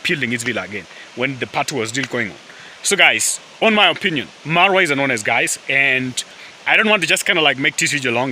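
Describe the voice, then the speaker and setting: A man's voice: fairly high pitch, pushed and bright, a little hiss or hum. A man whose first language is Swahili, rambling in casual talk to camera as a vlogger, full of fillers and calls to his viewers, outdoors by a forest river. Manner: rambling, filler-heavy, keeps addressing viewers as guys. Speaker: YouTube vlogger.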